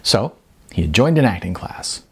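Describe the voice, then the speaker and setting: Husky low-voiced man reading a story expressively. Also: sing-song, moderate pace. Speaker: author reading their own novel. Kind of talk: audiobook-style narration of prose.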